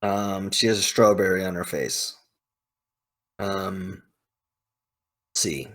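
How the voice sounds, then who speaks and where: A man's voice: deep voice, slow pace, a bit bright. A man hosting a video, thinking aloud in casual, opinionated commentary with emphatic, hyperbolic slang. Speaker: video host ranking game characters.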